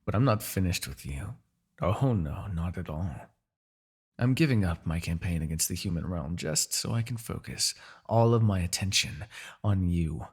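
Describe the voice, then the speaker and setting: A man playing a proud, vengeful demon king in a dramatic fantasy audio roleplay, with a husky, gravelly voice and a theatrical, gloating manner. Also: low-pitched, animated, normal speed, full character voice. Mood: resigned, calculating. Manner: theatrical, gloating, with sighs and dark chuckles.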